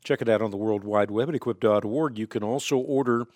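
A man hosting a call-in radio show and answering callers' questions in fluent, emphatic explanations, whose first language is Dutch, often restarting with quick repeated words. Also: fairly low pitch, a little mellow, no echo. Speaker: radio talk show host.

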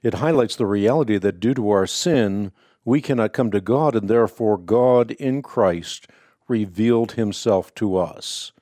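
Man, native Dutch speaker, radio host, low mellow voice, dry studio sound, measured and preacherly. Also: no room sound. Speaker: radio host answering callers. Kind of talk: radio call-in Bible teaching.

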